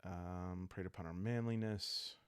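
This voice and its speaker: A man speaking softly and calmly in ASMR style, improvising a story aloud as he hosts a solo roleplaying game, thinking out loud with long pauses. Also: sits low, clear, resonant tone, dry recording, studio quality. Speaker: host playing a solo journaling roleplaying game.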